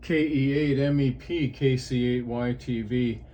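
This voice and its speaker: A man from Ohio chatting on ham radio from a portable outdoor setup, unhurried and halting with frequent fillers. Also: mid-range voice, steady tone, warm tone, slight background noise. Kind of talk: casual radio chat.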